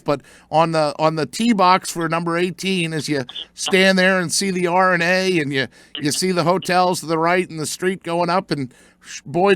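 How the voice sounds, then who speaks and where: A man's voice: fairly high pitch, a bit bright, smooth, dry recording. An older American man hosting a sports radio show, chatting casually and telling stories with many fillers. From United States.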